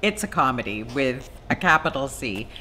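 A woman's voice: extremely low pitch, lively intonation, moderate pace, pushed and bright, slight background noise. A middle-aged woman, a stage actress, speaking in an emphatic, conversational way in a promotional interview. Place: indoor theatre set.

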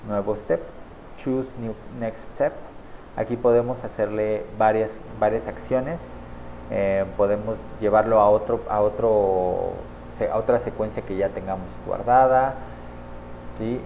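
Low-voiced guy in his 30s doing a chill screen tutorial, keeps checking in with viewers, kinda muffled audio. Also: warm tone, a little hiss or hum. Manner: casual, talks viewers through clicks with frequent "¿vale?" and "¿sale?" checks. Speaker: online marketing tutor. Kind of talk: screen-share software tutorial. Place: small indoor room at a desk.

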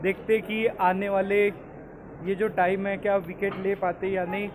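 A young man vlogging in excited casual from the stands of a cricket stadium, mixing in English phrases, with his phone held at arm's length. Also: high-pitched, normal speed. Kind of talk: casual vlog commentary. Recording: phone audio with loud crowd noise. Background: cheering crowd and music.

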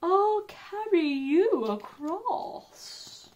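A woman voicing a crafty storybook fox with drawn-out, coaxing offers. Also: extremely high pitch, sing-song, a little mellow, dull audio, pitched above the performer's own voice, exaggerated voice. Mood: sly coaxing.

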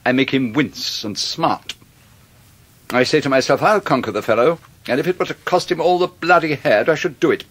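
A man playing a controlling, menacing English stepfather in a radio drama, clipped and deliberately polite. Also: medium pitch, animated, fairly bright tone. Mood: quiet menace.

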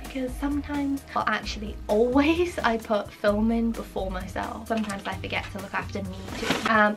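A young woman chatting excitedly in a casual shopping haul from her bedroom. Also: a little high. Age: young adult. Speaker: vlogger. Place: pink bedroom indoors. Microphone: camera on a stand, sitting close. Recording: music playing under voice. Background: background music.

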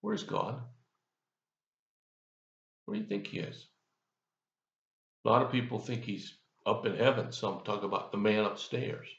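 A man's voice: on the low side, unhurried, gravelly, pauses often, echoey room, muffled recording. An older man giving a folksy, conversational devotional as a pastor, asking the listener questions, recorded on a webcam in his office. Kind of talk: devotional sermon. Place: office, indoors, seated in leather chair.

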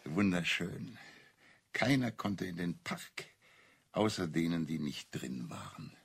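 Dryly logical clergyman in crime radio play, deadpan, deep and creaky. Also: takes it slowly, full character voice. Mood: sardonic. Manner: deadpan, ironic questioning. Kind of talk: German radio crime drama dialogue.

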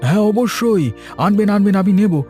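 Eager villager pitched up high by a man. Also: expressive, normal speed, dark tone. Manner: eager, sociable. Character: chatty, easygoing village vegetable seller, male. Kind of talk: cartoon story dialogue. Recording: music bed behind.

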